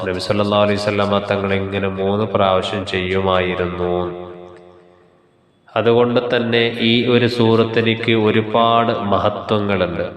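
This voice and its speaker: A man preaching an Islamic sermon in a measured, instructive way, pausing phrase by phrase. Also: fairly low pitch. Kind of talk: religious sermon with Arabic recitation and explanation. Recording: heavy echo and long reverb.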